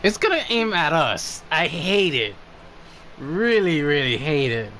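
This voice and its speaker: A man's voice: on the high side, sing-song, measured pace, bright, forceful tone, a little hiss or hum. A man streaming a game with casual, blunt commentary and informal muttered asides.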